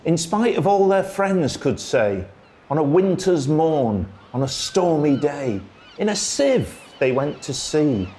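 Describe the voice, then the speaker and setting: Man reading verse with very lively, swooping pitch. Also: a little hiss or hum. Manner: theatrical, singsong, drawn-out phrasing. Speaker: storyteller, radio presenter. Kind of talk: expressive children's poetry recitation.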